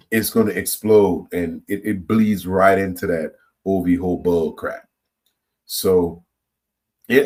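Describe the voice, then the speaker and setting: A man from Trinidad and Tobago hosting a reaction channel, giving his opinions in a loose, animated monologue to viewers, often repeating and restarting words. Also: deep voice.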